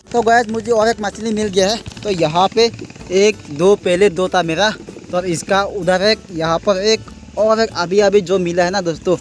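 A young man with a northeast Indian accent gives casual, rambling vlog commentary, explaining things to viewers and repeating himself, recorded outdoors on a phone. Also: high voice. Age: young adult. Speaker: village fishing vlogger. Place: outdoors on a small wooden boat on a river. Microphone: phone at arm's length.

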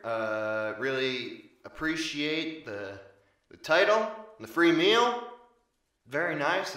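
A man playing an awkward young customer giving a halting speech over a diner PA system. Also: medium pitch, sharp tone, heavily acted. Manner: halting, hesitant, full of pauses. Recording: echoey PA speech from a distance.

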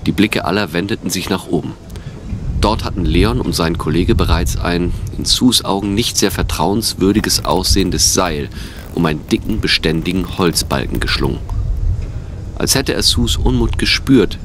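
Man, very low voice, audio drama narration, story reading, faint noise. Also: moderate pace.

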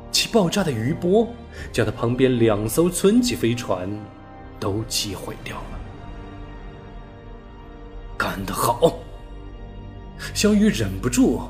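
A man reading a science fiction novel aloud as an audiobook narrator, steady and storytelling, lifting his voice on key words. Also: medium pitch. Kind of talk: scripted sci-fi novel narration.

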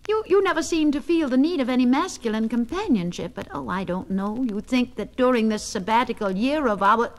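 A woman's voice: fairly high pitch, animated, moderate pace, poor audio. A woman playing a fretful spinster schoolteacher. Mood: wistful. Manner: stammering, fretful, gushing. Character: timid nervous spinster schoolteacher woman, middle-aged. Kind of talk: dramatic character monologue.

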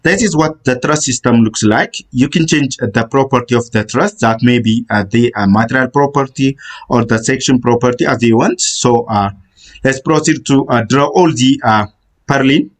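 Guy walking thru software steps, lots of uh pauses, mid voice, halting. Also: medium pitch. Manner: halting, frequent "uh" fillers, step-by-step instructions. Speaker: software tutorial instructor. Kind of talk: screen-recorded software tutorial. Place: voice-over on screen recording.

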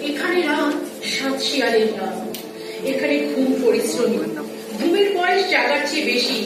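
A woman's voice: high voice, lively intonation, moderate pace. A woman reciting poetry in a dramatic style. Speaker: recitation performer. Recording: very echoey, distant, loud music. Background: music.

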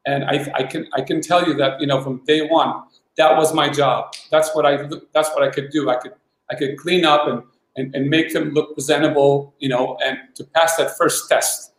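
An older man speaks with determination over a video call, doubling back on his words. His voice sits a little high and rings in an echoey room. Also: fairly even intonation, moderate pace. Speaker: panel guest, arts promoter.

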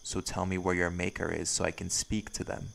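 A man playing a brash, threatening male werewolf in an audio roleplay, gruff and hostile. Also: sits low, flat delivery, a little fast, fairly bright tone, exaggerated voice. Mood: menacing. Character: brash, menacing male werewolf hunting a vampire. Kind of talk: dramatic audio roleplay acting.

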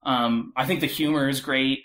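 Guy chatting on a podcast, kinda halting, says like a lot, average pitch. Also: fairly even intonation, fairly bright tone. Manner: halting, filler-heavy, frequent "like". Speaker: panel guest. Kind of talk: casual discussion.

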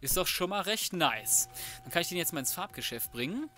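A man's voice: a little high, sing-song, a little fast, bright, forceful tone, soft background music. A man giving casual, colloquial game commentary, muttering to himself as he works through tasks. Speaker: let's play host. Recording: clear background noise, mouse clicks.

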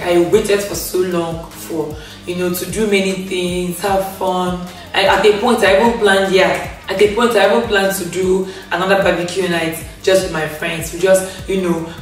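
A young woman chats to camera from her sofa, expressive and quick to laugh, her voice still recovering after she lost it. The room rings with strong echo, and she sits a little back from the mic. Faint music plays underneath. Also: mid-range voice, recorded from a distance. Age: young adult. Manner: chatty, expressive, laughs often, with hand gestures. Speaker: vlogger. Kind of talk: casual storytime rant to camera. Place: indoor living room, on a grey sofa.

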